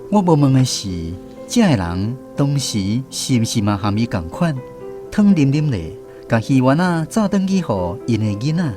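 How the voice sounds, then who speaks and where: Taiwanese guy telling his memories as radio drama narrator, mid pitch, really expressive intonation. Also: normal speed, over music. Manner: reminiscent storytelling. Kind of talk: first-person scripted radio drama narration.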